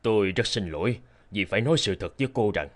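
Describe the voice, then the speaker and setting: An elderly cartoon doctor with a fairly low voice. He is grave and formal, bringing hard news with an apologetic manner. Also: expressive, quick pace. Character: grave elderly male heart doctor breaking bad news. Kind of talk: dubbed cartoon drama.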